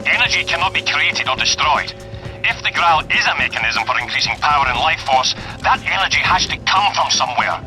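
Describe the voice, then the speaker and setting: Stern game spy chief, very bright sharp voice, commanding, earnest, faint music. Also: male voice, fairly high pitch, expressive, brisk, rough recording. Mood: worried, serious. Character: stern, concerned male spymaster commanding by radio. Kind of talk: video game cutscene acting.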